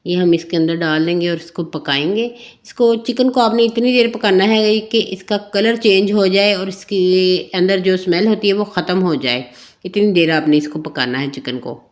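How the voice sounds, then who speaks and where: A woman with a Pakistani Urdu accent, a little hoarse, talking through a home cooking recipe in a homely, chatty step-by-step way. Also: medium pitch, moderate pace. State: slightly hoarse throat. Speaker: cooking channel host. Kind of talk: home cooking tutorial voice-over.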